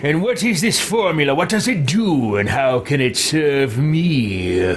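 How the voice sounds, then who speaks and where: A man puts on a self-important fantasy hero for a game role-play. He is pompous and aloof, drawing his words out slowly over clear background noise. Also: on the high side, lively intonation. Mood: haughty, demanding.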